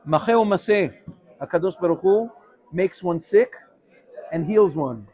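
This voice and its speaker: Animated man teaching, slightly high voice. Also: quick pace. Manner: animated, mixes English with Hebrew phrases. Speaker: rabbi teaching a class. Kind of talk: informal Torah class with questions.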